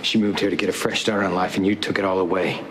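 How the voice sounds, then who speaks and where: Actor doing stern cop with a deep voice, wounded and serious, soap drama. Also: male voice, steady tone, fast, noisy background, close to an everyday voice. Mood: bitter grief. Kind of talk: television soap drama acting.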